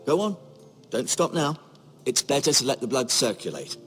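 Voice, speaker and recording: A man playing an older, learned healer in a fantasy video game, speaking in a measured, formal, musing way. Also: medium pitch, sing-song, a bit bright, soft background music. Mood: calm, attentive. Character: older learned castle maester, grave, opinionated, gently bedside. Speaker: maester healer. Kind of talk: video game drama acting.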